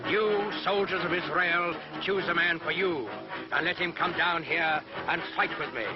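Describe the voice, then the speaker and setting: A man acts out a huge, fierce storybook giant, cruel and boastful, declaiming in open defiance. The recording is dull and lo-fi, with loud music underneath. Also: unhurried, natural voice. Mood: defiant boasting. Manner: defiant, declamatory, booming challenge.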